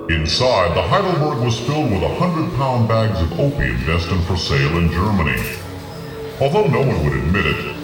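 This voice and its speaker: A man narrating a scripted first-person noir science fiction story as the storyteller. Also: lowish voice. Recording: echoey, distant voice under loud music. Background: loud music.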